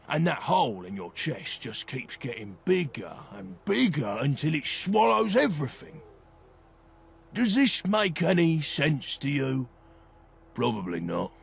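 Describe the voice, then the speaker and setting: A man's voice: a little high, gravelly, husky, heavily acted. A man playing an insecure, earnest young brawler in a video game, speaking plainly and hesitantly, stumbling over his words. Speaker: video game companion character. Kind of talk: game voice acting, halting heartfelt dialogue.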